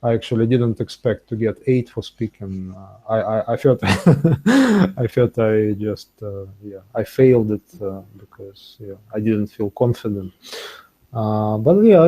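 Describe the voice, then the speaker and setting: A man speaking English as an in a casual interview, with halting, repetitive phrasing. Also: on the low side, dark tone, husky, poor audio. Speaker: interview guest, English learner.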